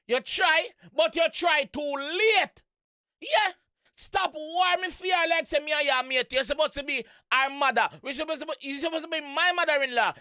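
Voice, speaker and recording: Give this voice a high pitch and a bright, forceful edge. The speaker is a Jamaican reaction host who riffs playfully on song lyrics, repeating phrases and breaking into singalong. It is recorded dry and close, with music in the background. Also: no room sound. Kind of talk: casual music reaction commentary, singing along and riffing on lyrics.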